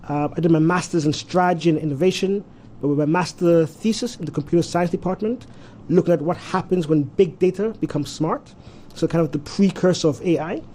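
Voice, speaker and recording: A man's voice: on the high side, fairly quick, warm tone, slight background noise. A Ghanaian man with an Austrian-tinged English accent, a tech consultant explaining his field in a talk show interview.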